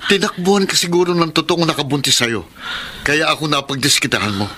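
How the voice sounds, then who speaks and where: An actor playing a defensive young man who is quick to accuse, in a Filipino radio drama. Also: fairly high pitch, sharp tone, a little hiss or hum. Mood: accusing, scornful.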